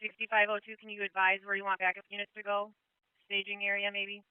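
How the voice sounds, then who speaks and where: A male police dispatcher speaking over radio traffic in a calm, clipped way, repeating back information. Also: sits high, quick pace, clear, resonant tone, no echo, narrow, tinny audio. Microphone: dispatch console radio.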